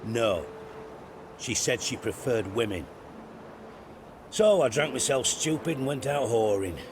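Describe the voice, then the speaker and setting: A man's voice: medium pitch, very animated, a little slow, noisy background. A man playing a cynical, crude-tongued older spymaster in a video game cutscene, blunt and theatrical in delivery. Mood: wry storytelling.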